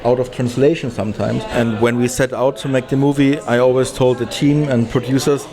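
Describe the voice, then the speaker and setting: Man, film director, casual interview talk, hesitant, halting delivery. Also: medium pitch, steady tone, crowd noise. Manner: hesitant, halting, searching for words.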